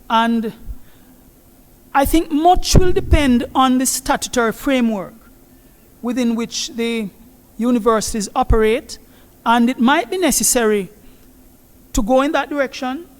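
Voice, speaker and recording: A man answering a question at an academic conference in a measured, formal way. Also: very high voice. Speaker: conference presenter. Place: large conference hall. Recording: long hall reverb.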